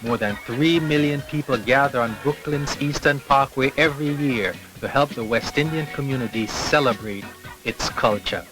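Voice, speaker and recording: A man from the Caribbean giving steady, measured scripted narration for a documentary. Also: medium pitch, poor audio. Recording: narration over loud music. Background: music.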